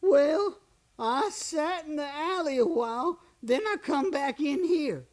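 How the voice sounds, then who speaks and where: Woman acting a high-voiced, halting little western guitarist. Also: lively intonation, a little mellow. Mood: timid. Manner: halting, unsure. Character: meek, hesitant male guitar player in a western town. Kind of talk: western radio play acting.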